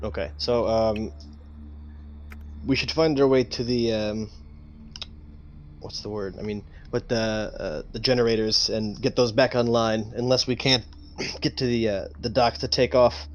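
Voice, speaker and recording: A man's voice: fairly low pitch, steady tone, a little slow, a little hiss or hum. A man chatting casually as a player in a tabletop roleplaying game, tentative and searching for his words.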